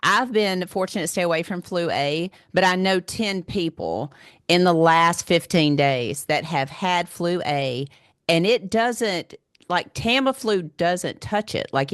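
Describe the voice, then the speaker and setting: A woman hosts a relaxed podcast conversation in a husky, worn voice she puts down to years of yelling at ball games. Her tone sits a bit low, and the close mic sounds dry with no room around it. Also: no echo. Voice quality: husky, smoker-like voice. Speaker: podcast host. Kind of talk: casual chat.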